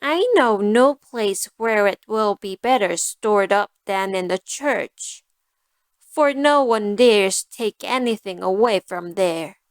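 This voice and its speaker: A woman's voice: mid-range voice, takes it slowly, smooth, no echo. A woman voicing a sly, self-serving female cat in a storybook, with a cool, persuasive manner. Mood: sly, coaxing.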